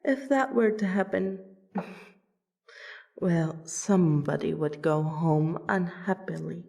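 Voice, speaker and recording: A woman voicing a proud, protective female vampire princess in an audio roleplay, with wry, deliberate, theatrical phrasing. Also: fairly low pitch, vocal fry, mellow. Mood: firm, bargaining. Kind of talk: ASMR audio roleplay acting.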